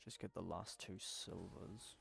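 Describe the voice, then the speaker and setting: Creaky-voiced streamer thinking aloud. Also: low-pitched, steady tone, measured pace, a bit bright, faint music behind. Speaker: video game streamer. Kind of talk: casual gameplay livestream commentary.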